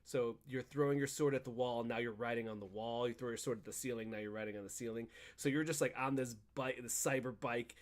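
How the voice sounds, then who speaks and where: A man co-hosting a casual chat, recapping a video game in a rambling, animated storytelling way. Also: mid-range voice, fast, hardly any pauses. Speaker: podcast co-host.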